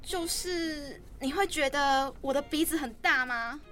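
A woman's voice: high-pitched, measured pace, pushed and bright. A woman playing an insecure, defensive college freshman in a radio drama, with music behind her. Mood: anxious.

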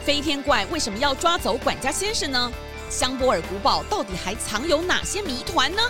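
A woman as the animated, suspenseful narrator of a children's mystery story, reading scripted narration. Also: high voice, normal speed. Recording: music behind the voice.

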